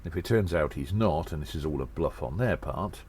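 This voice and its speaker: A man playing a self-important, cheeky gnome undercover agent, delivering a deliberate and theatrical audio drama monologue. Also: a bass voice, expressive, darkish tone, slight background noise. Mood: grave, concerned.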